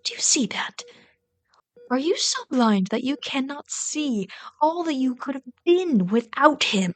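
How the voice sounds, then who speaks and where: A woman playing a bitter, lovelorn villain in an intimate ASMR roleplay, deliberate and intense, often slipping into breathy whispers. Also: high voice, big pitch swings. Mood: scornful, accusing. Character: bitter, lovelorn villain pleading with a hero's wounded sidekick.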